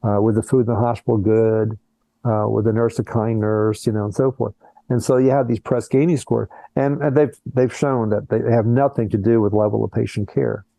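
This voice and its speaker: Older man, low mellow voice, dry. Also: steady tone, a little fast, vocal fry, no room sound, poor audio. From born in Colombia, raised in Brazil and the US. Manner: earnest, repeats words when restarting. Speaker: plastic surgeon guest. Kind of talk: conversational interview.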